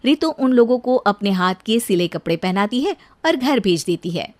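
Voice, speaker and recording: A woman's voice: medium pitch, lively intonation, moderate pace. A woman narrator reading a scripted cartoon story.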